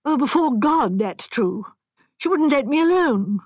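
A woman reading a frantic young male architect who stammers and pleads in a high, rising voice. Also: expressive, measured pace, a little mellow, no echo. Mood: miserable. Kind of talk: audiobook character reading.

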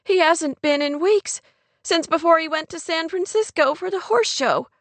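A woman voicing an upset young woman who is sharp, tearful and demanding, in an audiobook romance. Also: sits high, unhurried, no room sound, voice pushed up. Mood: sarcastic, blurting. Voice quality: trembling, tearful. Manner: sharp, demanding, near hysterical. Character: distraught, angry young woman, sarcastic and pushy.

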